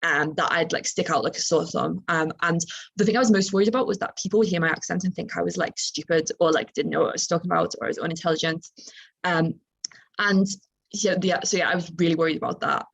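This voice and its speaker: A young woman with a softened Liverpool accent, talking informally on a student panel in a chatty, halting, self-correcting way. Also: on the low side, gravelly, slightly rough, poor audio. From Liverpool, England. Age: young adult.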